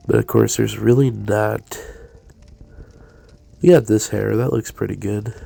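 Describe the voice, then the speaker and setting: Raspy, stuffed-up gamer guy. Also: fairly low pitch, mellow. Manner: hesitant, many fillers. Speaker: let's play gamer. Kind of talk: casual commentary.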